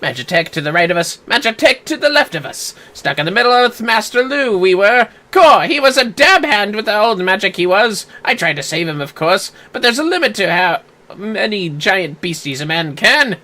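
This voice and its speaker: Young male fantasy-game braggart, acted by a streamer; pompous, whiny, bright and animated delivery. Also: medium pitch, normal speed, pitched below the performer's own voice. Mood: boastful, bluffing. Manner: theatrical, pompous bluster. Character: young male swaggering boastful would-be warrior, cowardly and whiny. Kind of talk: video game dialogue read aloud.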